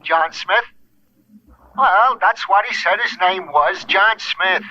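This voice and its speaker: An actor playing a slow-witted, earnest police sergeant in a radio drama, halting and eager over a telephone. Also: male voice, high-pitched, bright, forceful tone, heavily acted. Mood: earnest, flustered. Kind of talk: 1950s radio drama acting. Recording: muffled old radio phone-call sound, faint noise.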